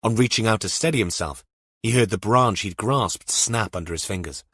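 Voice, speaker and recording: Male narrator for thriller fiction, low register, close dry recording. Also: moderate pace, fairly bright tone, slightly rough, dry recording. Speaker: audiobook narrator.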